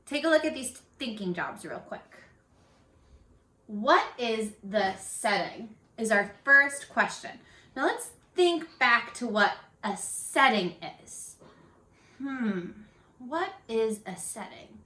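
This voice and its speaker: Bright, high-voiced woman teaching young children. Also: slow pace. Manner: expressive, encouraging, addressing young children. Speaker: elementary school teacher. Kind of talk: children's read-aloud lesson with questions. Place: living room at home. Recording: roomy, echoey home video.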